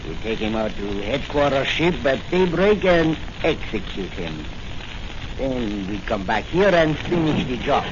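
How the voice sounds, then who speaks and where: An actor playing a cold, menacing saboteur captain with formal, stilted phrasing in 1940s radio serial style. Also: male voice, medium pitch, big pitch swings, a little slow. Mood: cold, commanding. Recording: muffled, very noisy old radio recording.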